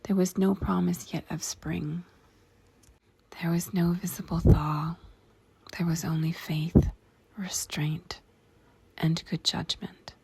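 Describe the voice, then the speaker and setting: A woman narrating a guided meditation in a hushed, whispery, creaky voice, with deliberate short phrases and spacious pauses. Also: lowish voice, little pitch movement, no room sound.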